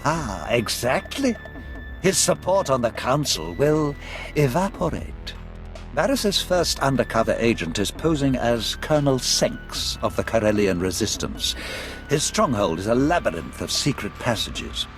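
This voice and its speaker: Courtly, scheming Sith lord, animated swooping voice. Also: male voice, medium pitch, measured pace, soft background music. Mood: conspiratorial. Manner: formal, courtly, eloquent. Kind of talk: video game cutscene acting.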